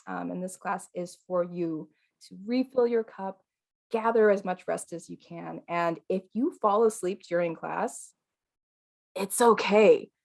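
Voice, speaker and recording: Brief: relaxed female-voiced qigong teacher, soothing tone, sits a bit low, casual and chatty. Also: measured pace, vocal fry. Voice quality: calm, soothing. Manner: chatty, relaxed, frequent fillers and laughs. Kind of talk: casual live online class.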